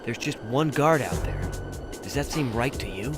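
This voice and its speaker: An actor playing an anxious, excitable but loyal male soldier in a video game, speaking with urgency and worry. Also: mid-range voice, moderate pace, music in the background, natural voice. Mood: worried. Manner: urgent, worried. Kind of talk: video game cutscene voice acting.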